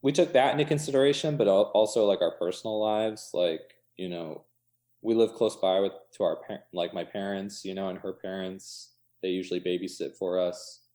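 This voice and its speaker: A man's voice: sits low, fairly even intonation, moderate pace, mellow. An American man talking casually in an interview, thinking aloud in loose fragments peppered with "like" and "you know". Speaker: coffee shop owner being interviewed.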